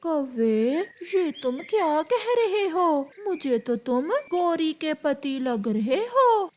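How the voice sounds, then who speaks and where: A woman voicing a trusting, dying female bird in a cartoon story, speaking in a slow, plaintive, dramatic way. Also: high voice, very animated, a little mellow, a little hiss or hum, full character voice. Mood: suspicious. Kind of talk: Hindi cartoon storybook acting.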